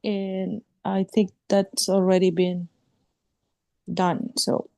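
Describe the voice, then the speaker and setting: A woman with a sore throat chairing a council meeting as mayor over a video call, speaking haltingly with fillers and restarts. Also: mid-range voice, little pitch movement, mellow, no echo.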